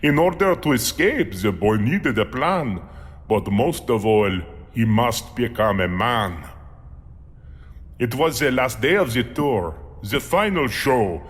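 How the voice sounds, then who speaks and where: A man playing a monstrous creature who recites a grim rhyming memoir in a dramatic, deliberate way. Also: mid-range voice, lively intonation. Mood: sorrowful, brooding. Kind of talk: theatrical rhymed storytelling. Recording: long hall reverb.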